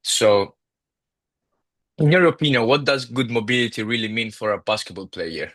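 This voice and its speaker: A man's voice: medium pitch, normal speed, a bit bright, dry recording. Young Italian man asking interview questions. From Italy. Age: young adult. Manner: reads questions carefully, with brief yeahs. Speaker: student interviewer.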